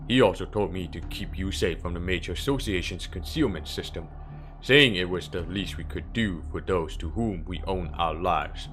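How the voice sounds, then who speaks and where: Man voicing a deep, sinister, courteous priest villain. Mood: courteous, calm. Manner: measured, formal, smoothly condescending. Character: calm, sinister priest villain, politely menacing adult man. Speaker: amateur voice impressionist. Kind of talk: dramatic fan dub character acting. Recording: background music under the voice.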